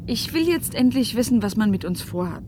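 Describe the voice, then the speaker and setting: An actress playing an earnest, tense woman fugitive in a German radio play, speaking emphatically and deliberately. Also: on the high side, animated, background noise, natural voice. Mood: impatient, indignant.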